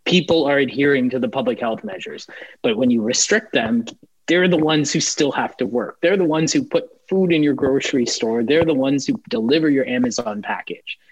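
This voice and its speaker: A man's voice: medium pitch, moderate pace, slightly rough, no room sound. A man explaining his points emphatically in a conversational panel discussion. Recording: dry, slightly dull audio.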